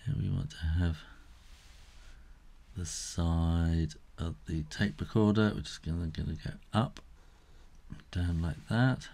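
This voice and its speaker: A middle-aged man giving a casual step-by-step drawing tutorial, unhurried and thinking aloud as he works. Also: deep voice, creaky, dark tone.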